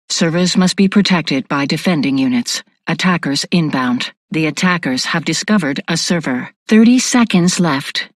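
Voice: mid-range voice, dry recording, natural voice. A performer voicing an impassive facility defence computer in a military video game, with clipped, formal announcements. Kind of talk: scripted game announcer lines.

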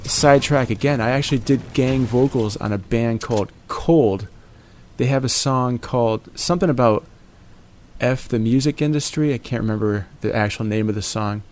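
A man's voice: medium pitch, moderate pace, a little mellow. A man in his forties giving playful gameplay commentary in a jokey morning-DJ manner, wandering off on tangents. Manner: jokey morning-DJ banter, calls viewers "baby" and "my friends". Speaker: gaming commentator.

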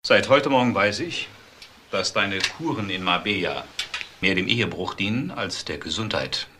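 An actor playing a cold, bitter, betrayed husband, controlled and sarcastic, in a crime radio drama. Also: male voice, lowish voice, animated, normal speed, background noise, lightly acted. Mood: cold reproach.

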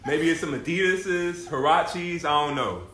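A man's voice: on the high side, unhurried, pushed and bright. A man playing a broke, cocky slacker boyfriend in an amateur comedy table read, slangy and full of boastful excuses. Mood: casual, boastful. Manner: slangy, boastful, self-justifying. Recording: echoey room, faint noise.

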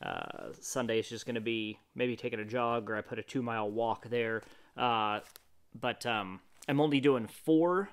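A man in his forties talking casually and haltingly in a vlog, thinking aloud as he goes. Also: mid-range voice, very animated, clean tone. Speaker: fitness vlogger. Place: wooden garage with the door open.

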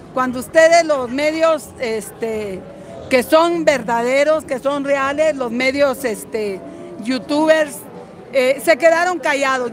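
An older woman from Guatemala speaking as a political activist in an impassioned, combative and salty-tongued street interview. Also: high voice. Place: busy city street outdoors. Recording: outdoor street crowd noise, music behind.

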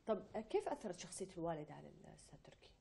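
Casting: woman interviewer, warm lowish voice, quick, hesitant phrasing. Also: sing-song, vocal fry, slight background noise. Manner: hesitant, restarts questions. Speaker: interviewer, host. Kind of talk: interview questions.

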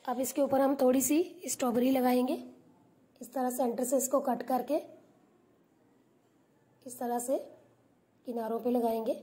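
Woman, cooking host, calm recipe voice-over, warm mellow timbre. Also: sits high, a little slow, pauses often. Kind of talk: step-by-step recipe narration. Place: home kitchen.

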